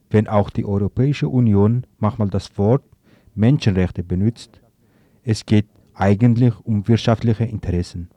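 A man delivers a scripted radio commentary in a fairly low voice with a soft, dark tone. His reading is a little halting, and the sound is dry, with no room around it. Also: no echo. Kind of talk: scripted political commentary, read aloud.

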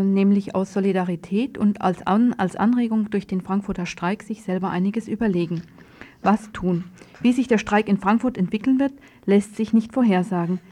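A woman's voice: mid-range voice, mellow. A woman radio presenter reading a scripted political news report.

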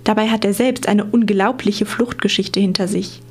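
A woman's voice: medium pitch, steady tone, normal speed, a little mellow, husky. A woman reporter reading scripted documentary narration for a radio feature.

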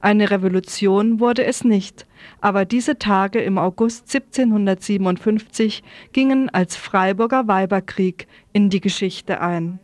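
A woman's voice: medium pitch, measured pace, darkish tone, no room sound. A woman presenting on radio, reading scripted history and event announcements.

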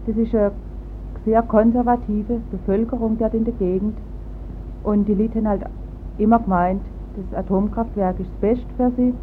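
Female radio host, Baden Alemannic accent, informal presenting; narrow, muffled recording with faint rumble. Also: steady tone, normal speed. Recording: muffled phone-like sound, rumbling noise.

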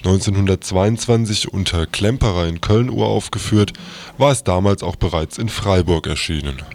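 A man's voice: deep voice, normal speed, darkish tone. A man reading aloud a written newspaper review as a quoted text. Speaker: reader of quoted articles.

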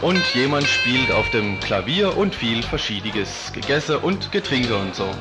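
Loud plucked guitar runs behind a man acting as a chatty local guy. He has a medium-pitched voice and tips off a friend in loose, colloquial radio-promo dialogue. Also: sing-song, unhurried. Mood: casual, informative. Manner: colloquial, casual. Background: guitar music.

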